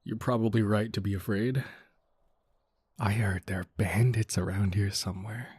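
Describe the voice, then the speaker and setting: Male actor as a teasing bandit boss, lowish pitch, folksy and wry, mock-polite, dry close sound, audio roleplay. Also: fairly even intonation, moderate pace, a little mellow, husky, no room sound. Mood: mocking, smug. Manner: casual, folksy drawl of mock courtesy, wry. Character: cocky, teasing, surprisingly considerate male bandit leader. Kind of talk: audio roleplay acting addressed to the listener.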